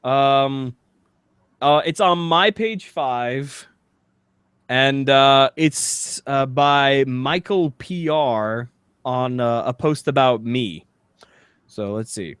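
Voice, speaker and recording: A man with an American accent talking casually as a podcast co-host, halting and a little pedantic. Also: medium pitch, a bit bright, clean tone, no room sound, studio quality. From United States. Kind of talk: casual chat, reading text aloud.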